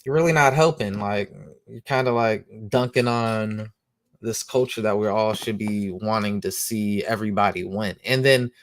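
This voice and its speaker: A man hosting a comic book show, rambling casually in slang, who says he has a loud voice. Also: fairly low pitch, normal speed, dry recording. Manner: slangy, rambling, lots of "you know what I'm saying". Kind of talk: casual monologue.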